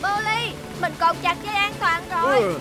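Very high-pitched eager little helicopter robot. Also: female voice, animated, normal speed, over music. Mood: urgent. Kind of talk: Vietnamese-dubbed children's cartoon.

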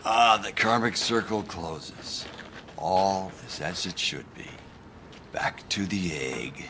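An actor playing a spaced-out, paranoid middle-aged American hippie with a drawling, guru-like manner in a video game. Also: male voice, deep voice, lively intonation, takes it slowly, full character voice. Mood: serene. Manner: drawling, pseudo-spiritual guru talk. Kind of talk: video game cutscene acting. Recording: loud game noise, vehicles, helicopter.

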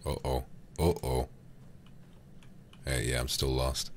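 A man streaming a game ASMR-style into a close microphone, speaking softly and intimately with frequent whispering, in an affectionate, languid manner. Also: extremely low pitch, little pitch movement, slow, a little hiss or hum. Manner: breathy, often whispered, intimate; affectionate, doting toward viewers. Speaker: livestreamer.

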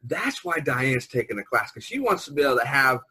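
A middle-aged man chats casually as host of an online call. His voice is slightly husky at an ordinary pitch, and he rambles, halting and circling back over his words. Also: sing-song, pushed and bright. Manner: rambling, halting, repeats himself. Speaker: podcast host. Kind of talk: casual group chat. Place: online video conference call.

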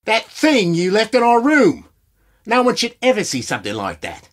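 A man's voice: sits high, wildly swooping pitch, normal speed. An indignant husband demanding satisfaction in a game. His voice swings dramatically up and down, huffy and emphatic. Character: indignant, demanding married male hotel guest. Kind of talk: video game voice acting.

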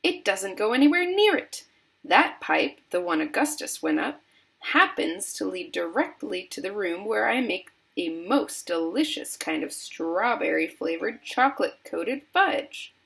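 A woman voicing an excitable, breezy male chocolate factory owner in a storybook, emphatic and dismissive of worries. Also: mid-range voice, measured pace, vocal fry, full character voice. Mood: emphatic, amused.

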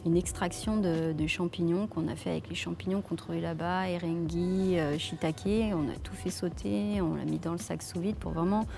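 Hesitant woman chef over soft music. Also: lowish voice, steady tone, moderate pace, gravelly, hardly any pauses. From France. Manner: hesitant, many fillers and restarts. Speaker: head chef. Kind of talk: filmed interview answers. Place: leafy outdoor restaurant courtyard. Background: soft background music.

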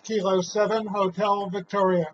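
A man in his sixties calls on amateur radio in a notably high voice. His delivery is clipped and repetitive, spelling call signs in phonetic alphabet. The recording is dull and lo-fi, with strong noise around him in a small home radio room. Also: level tone, normal speed. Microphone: handheld radio microphone, recorded by camera a short way off. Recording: dull lo-fi sound, loud radio static noise.

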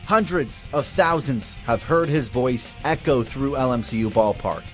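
A man in his thirties narrating a local TV news feature in a bright, lively reporter delivery. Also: mid-range voice, a little slow, soft background music.

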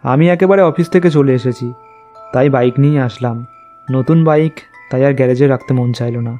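A man's voice: medium pitch, fairly quick, warm tone, highs cut off, faint music behind, natural voice. A man playing a young, friendly, dutiful reporter in casual cartoon story dialogue. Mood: bantering.

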